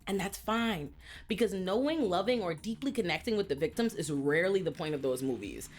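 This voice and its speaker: A woman's voice: lowish voice, lively intonation, brisk. A woman from the Bahamas, hosting a casual commentary video essay full of profane, comic asides.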